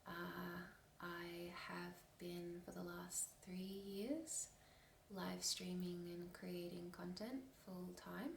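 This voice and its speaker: A woman in her twenties with an Australian accent, talking in a hushed, half-whispered, hesitant way as an ASMR creator. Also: on the low side, steady tone, slow pace, gravelly, reverberant, recorded from a distance, slight background noise, poor audio. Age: 20s. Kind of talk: soft-spoken ASMR chat.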